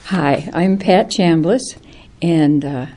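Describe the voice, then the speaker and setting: An older woman from Illinois reminiscing in an interview, telling her stories in an unhurried way. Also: sits low, warm tone.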